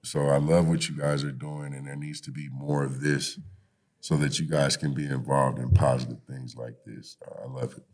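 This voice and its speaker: Guy with a super low deep voice, chatting like a mentor on a podcast, encouraging, lots of you knows. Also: little pitch movement, moderate pace, darkish tone. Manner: encouraging, uses "you know" often. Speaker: podcast guest, youth activist and coach. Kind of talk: conversational interview with motivational encouragement.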